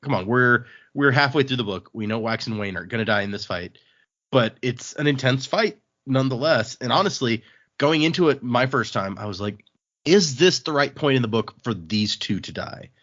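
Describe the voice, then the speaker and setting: A man's voice: mid-range voice, animated, normal speed, a bit bright, dull audio. A man hosting a podcast, chatting casually through a discussion of a book. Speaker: podcast host.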